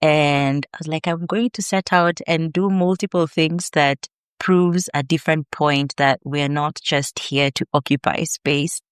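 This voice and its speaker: A woman with a Kenyan accent, a podcast guest and researcher, telling her story in a measured, earnest way. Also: deep voice, fairly even intonation, clear, resonant tone, no echo, studio quality. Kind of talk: reflective interview answers.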